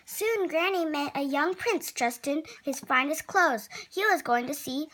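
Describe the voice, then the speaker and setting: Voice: high voice, animated, a little slow, sharp tone. A reader narrating a picture book aloud expressively, with playful asides. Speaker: children's story reader.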